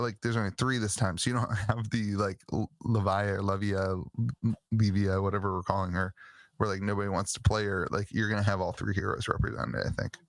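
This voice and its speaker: A man co-hosting a casual podcast, his throat a little hoarse and raspy, talking in halting bursts with lots of restarts. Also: on the low side, no echo. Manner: halting, many restarts and fillers, enthusiastic. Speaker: podcast co-host. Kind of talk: casual chat about a card game.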